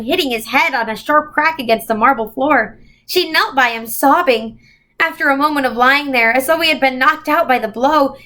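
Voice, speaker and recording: A woman reading a children's novel aloud as scripted, expressive storybook narration. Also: sits high. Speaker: volunteer storybook reader.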